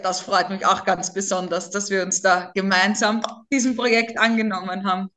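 A woman's voice: medium pitch, little pitch movement, normal speed, a bit bright. A talkative woman with a slightly Viennese eastern Austrian accent, chatting casually as a podcast co-host. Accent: eastern Austrian German, slightly Viennese. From Lower Austria, near Vienna.

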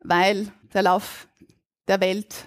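A woman from Burgenland with an Austrian German accent, a linguist on a live podcast stage, explaining in a measured, academic way. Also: mid-range voice, little pitch movement. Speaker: guest linguist. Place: stage before a live audience.

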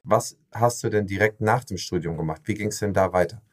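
A man hosting a podcast, reading a scripted introduction in a measured way and then asking questions in casual conversation. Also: fairly low pitch. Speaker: podcast host.

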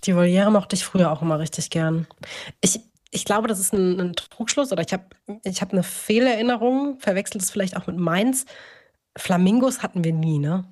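A woman's voice: on the low side, a little fast, a little mellow. A woman co-hosting a podcast in an informal, conversational chat. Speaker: podcast co-host. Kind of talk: casual chat.

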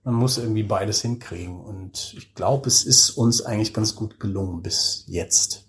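A man's voice: on the low side, normal speed. A man speaking casually and anecdotally as an interview guest, with a colloquial, self-deprecating way of talking. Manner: colloquial, self-deprecating, acts out quoted remarks. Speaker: interview guest, audio drama director.

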